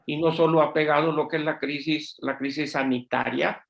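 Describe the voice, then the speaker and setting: Earnest man from Honduras, fairly high voice. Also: fairly even intonation, moderate pace, gravelly. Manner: earnest, restarts and repeats words. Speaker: panelist physician. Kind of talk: panel discussion remarks.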